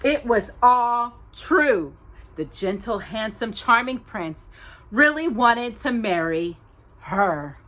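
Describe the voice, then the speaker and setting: A woman's voice: a little high, animated, takes it slowly, fairly bright tone. A woman reading a children's story aloud with dramatic, emphatic narration. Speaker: storytime reader.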